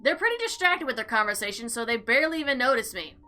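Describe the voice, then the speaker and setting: A woman hosting a game playthrough, mixing informal commentary with theatrical, expressive reading aloud. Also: fairly high pitch, fast, faint music behind. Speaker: gaming video host.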